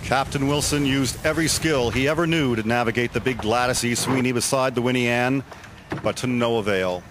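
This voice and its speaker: A man's voice: medium pitch, a little fast. A man narrating a historical drama in a scripted storytelling style. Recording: very loud sea and wind effects behind.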